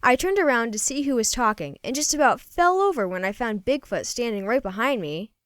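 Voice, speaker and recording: A woman reads a children's story aloud with lively, swooping intonation. Her voice is fairly high and smooth, and she tells the tale in a folksy, expressive first-person way. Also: a little fast, no echo, studio quality. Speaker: storybook reader.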